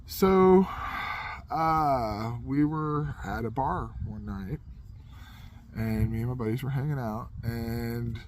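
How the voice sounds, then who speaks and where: A man's voice: mid-range voice, slow. Man rambling through a funny story, noisy background. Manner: rambling, self-deprecating. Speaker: podcast host telling a funny story. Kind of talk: casual comedic anecdote.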